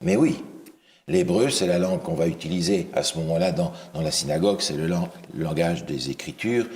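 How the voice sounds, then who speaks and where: Deep-voiced man teaching scripture. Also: lively intonation, fairly quick, darkish tone. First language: not English. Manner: questioning, hesitant with fillers and restarts. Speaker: preacher teaching a Bible study. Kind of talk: interactive Bible teaching.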